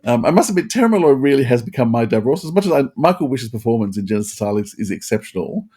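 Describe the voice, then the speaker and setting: A man hosting a casual review chat, rambling and halting, often repeating his phrases. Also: mid-range voice, sing-song, dark tone, slightly rough. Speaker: podcast host.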